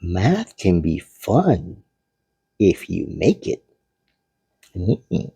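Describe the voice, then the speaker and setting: Male children's read-aloud narrator: husky, creaky, middle-pitched, playful and deliberate. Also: very animated, mellow, no echo. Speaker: children's storybook reader.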